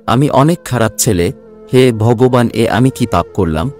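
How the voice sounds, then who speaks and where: A man's voice: mid-range voice, lively intonation, measured pace, darkish tone, soft background music, close to an everyday voice. A man playing a self-centred young working son from a village in a cartoon story, speaking emotionally. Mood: remorseful, pleading.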